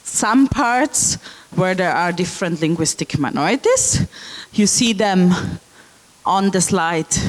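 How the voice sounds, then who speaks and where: A woman from South Tyrol giving a public lecture with slides, speaking slowly with frequent ums and check-in okays. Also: medium pitch, low-quality recording. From South Tyrol, Italy.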